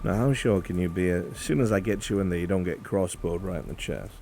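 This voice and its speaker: A man's voice: sits low, fairly quick, mellow, slight background noise. A man playing a brusque, wary fantasy officer with a clipped, formal, commanding manner in a live roleplay. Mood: wary, gruff.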